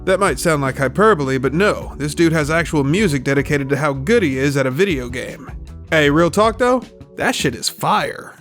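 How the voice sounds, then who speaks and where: A man's voice: fairly high pitch, animated, normal speed. A man narrating a scripted, opinionated video essay in an emphatic, colloquial, sweary way. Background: music.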